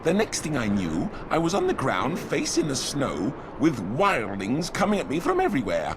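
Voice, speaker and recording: An actor playing a sly, argumentative, whining soldier in a dramatic fantasy video game. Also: male voice, on the high side, wildly swooping pitch, moderate pace, background noise. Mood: shaken. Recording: music behind the voice.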